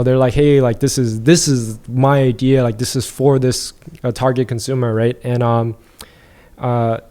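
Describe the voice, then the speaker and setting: Easygoing male guest, mellow voice, thinks aloud with many fillers. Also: medium pitch. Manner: relaxed, reflective, many fillers like "like" and "um". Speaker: podcast guest, entrepreneur. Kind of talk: casual podcast conversation.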